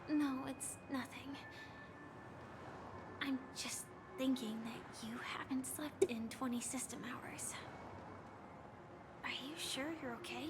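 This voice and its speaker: An actress playing a soft-spoken, calm, enigmatic young woman in a fantasy video game, speaking gently and breathily. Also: high-pitched, noisy background. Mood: wistful, quiet. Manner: gentle, breathy, measured, often near a whisper. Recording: game music behind the voice. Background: game music.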